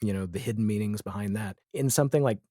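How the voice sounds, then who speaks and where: A man's voice: on the low side, fast talker, mellow, dry recording, studio quality. A man in his forties talking casually and reflectively as an interview guest, with a wry way of telling things. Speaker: interview guest, comedy and audio drama writer.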